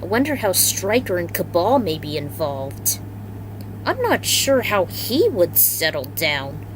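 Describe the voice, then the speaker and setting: A woman's voice: fairly low pitch, sing-song, unhurried, noisy background. A woman voicing a casual, wordy, slangy male action hero in a story reading. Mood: casual, musing. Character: casual, chatty male action hero and would-be film director.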